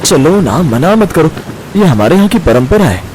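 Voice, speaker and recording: A man playing a jovial, hungry older father-in-law with a husky voice and a hearty, insistent manner in a storybook tale. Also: a little high, big pitch swings, normal speed, darkish tone, a little hiss or hum, rough recording. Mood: coaxing. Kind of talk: animated Hindi storybook dialogue.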